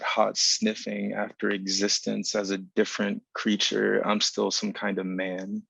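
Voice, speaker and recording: A man with a low, creaky voice reads his literary writing aloud in a flat, even line. He is a poet from South Carolina, and the sound is dry and slightly dull, as on a video call. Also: no room sound. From South Carolina, United States. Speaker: poet reading own work. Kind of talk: literary reading of an essay.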